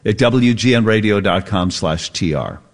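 Low-voiced male radio host, easygoing, quick to laugh. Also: expressive. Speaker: radio talk show host. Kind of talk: radio talk show chat and promotion.

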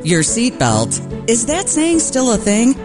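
A woman's voice: fairly high pitch, huge pitch swings, slow pace. Bubbly, sing-song radio host woman. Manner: playful, bubbly. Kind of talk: radio show promo. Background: music.

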